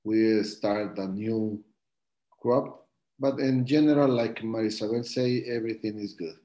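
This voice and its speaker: A man from Guatemala, a coffee farmer, answering interview questions over a video call in halting English with many fillers. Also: fairly low pitch, dark tone, reverberant. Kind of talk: live-stream interview answers.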